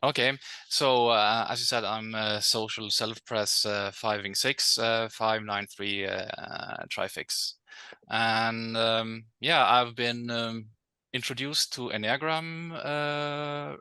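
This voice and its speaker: A man's voice: medium pitch, animated, slow pace, pushed and bright, clean tone, no room sound. A man with a Norwegian accent whose native language is Norwegian, speaking hesitantly with many fillers as a podcast guest. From Norway.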